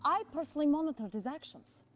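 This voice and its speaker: Cool, calculating Soviet spymaster. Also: female voice, high-pitched, lively intonation, fast talker, soft background music. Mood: coolly accusing. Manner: composed, assured, cutting. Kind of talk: dramatic game cutscene acting.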